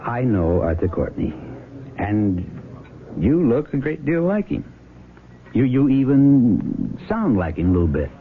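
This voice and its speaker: An actor playing a mild, persistent small-town sheriff with an American accent and a hesitant, folksy manner in a radio drama. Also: male voice, medium pitch, big pitch swings, unhurried, slight background noise. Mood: quietly insistent. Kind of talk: 1970s radio drama acting. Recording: muffled vintage radio, music behind.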